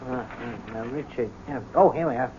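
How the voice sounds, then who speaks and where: An actor playing a reasonable, apologetic prison doctor in a 1970s radio drama, speaking in a hesitant, conciliatory way. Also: male voice, mid-range voice, brisk, close to an everyday voice. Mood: polite, distracted. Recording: tinny, phone-like old radio, clear noise.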